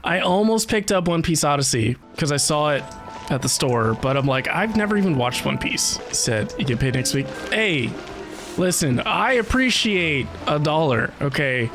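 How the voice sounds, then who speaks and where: A woman's voice: sits low, big pitch swings, normal speed. A woman streamer chatting casually with viewers during a live game stream, full of conversational asides. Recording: stream with game music behind. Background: video game music.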